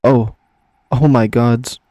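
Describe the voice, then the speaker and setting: Visual novel tiger knight, acted by a man: young male, timid, flustered, halting stammer, dry close sound. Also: mid-range voice, fairly even intonation, mellow, no echo, natural voice. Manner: stammering, nervous, hesitant. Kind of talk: visual novel character reading.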